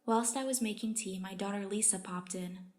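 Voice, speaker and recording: Woman as story reader, medium-pitched, resigned first-person narration of a put-upon underling. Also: steady tone, normal speed, darkish tone, natural voice. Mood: subdued, resigned.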